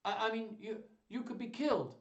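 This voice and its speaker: Young storybook lady, played by a man: high-pitched, pleading, smitten, echoey room. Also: moderate pace, dull audio, natural voice. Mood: worried, smitten. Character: anxious, smitten young noblewoman. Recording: roomy echo, distant microphone.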